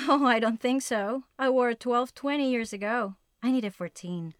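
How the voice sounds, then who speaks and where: A woman's voice: on the high side, moderate pace, no echo, clean studio sound, lightly acted. An actress playing a polite, particular woman in a scripted everyday language-test dialogue. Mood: hesitant. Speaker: actor in listening test dialogues.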